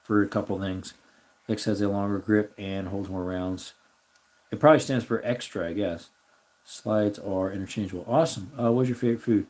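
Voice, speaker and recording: A man hosting a casual live stream, answering viewer comments and thinking aloud as he looks things up. His voice is slightly raspy. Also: lowish voice, fairly even intonation, normal speed, darkish tone. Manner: rambling, thinks aloud while looking things up.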